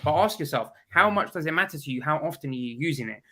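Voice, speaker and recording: A man's voice: fairly high pitch, expressive, fast, pushed and bright. A man, a guest in a casual video call chat, talking bluntly and with strong opinions while stuffed up from a cold.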